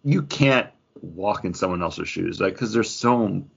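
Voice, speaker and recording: A man co-hosting a podcast in casual chat, halting and full of fillers, often acting out imagined lines as he talks. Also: deep voice, lively intonation, vocal fry, husky, lo-fi sound. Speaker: podcast co-host reviewing comic books.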